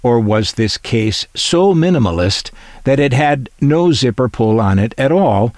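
A man's voice: mid-range voice, animated, unhurried, a little mellow, no echo. A middle-aged American man narrating in a conversational way, wry and opinionated, with dry humour. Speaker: collector presenting vintage transistor radios.

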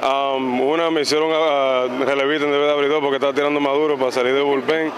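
A man in his thirties who grew up in the United States, a baseball pitcher answering interview questions outdoors on a ball field into a handheld mic, calm and soft-spoken, slipping English words into his speech. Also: quick pace. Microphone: handheld mic held by interviewer. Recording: noisy outdoor stadium ambience.

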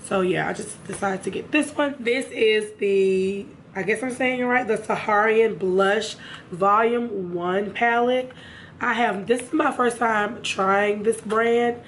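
A young woman with a raspy, hoarse voice, chatting casually to her viewers about makeup in a room at home. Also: medium pitch, unhurried, a little hiss or hum. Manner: chatty, addresses viewers directly. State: hoarse from a sinus infection. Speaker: beauty vlogger. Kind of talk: casual makeup haul chat.

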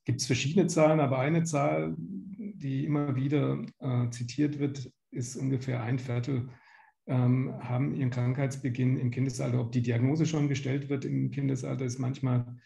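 A man's voice: mid-range voice, fairly even intonation, normal speed, vocal fry, mellow. A man in his fifties from Germany giving an explanatory, somewhat hesitant medical lecture over a video call. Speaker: medical lecturer, pediatric gastroenterologist. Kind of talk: slide lecture to patients. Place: small indoor room, on video call. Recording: roomy home or office echo.

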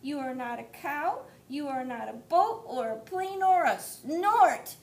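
A woman voicing a small baby bird searching for his mother, high and pleading. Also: big pitch swings, slow pace, a bit bright, heavily acted. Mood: relieved. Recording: echoey room, faint rumble.

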